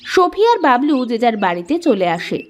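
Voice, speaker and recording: A woman's voice: on the high side, sing-song, moderate pace. A woman reading scripted storybook narration as the narrator of a children's moral tale.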